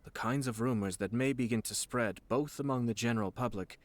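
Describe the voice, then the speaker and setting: A man playing a composed, courteous young noble clan head in a video game, speaking in a polite, measured, formal way. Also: medium pitch, no echo, lightly acted. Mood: measured concern.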